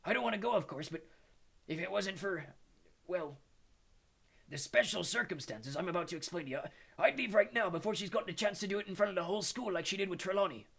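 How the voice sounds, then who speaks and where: Male narrator voicing a hulking half-giant gamekeeper: gravelly, husky, stammering, rustic and soft-hearted. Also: high-pitched, fast, pitched above the performer's own voice, full character voice. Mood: indignant.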